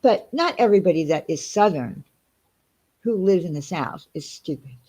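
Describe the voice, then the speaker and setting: A woman's voice: on the low side, lively intonation, moderate pace, warm tone, slightly rough. A woman with a Long Island New York accent, hosting a casual livestream and reading out chat, talking in an indignant, rambling way with many fillers.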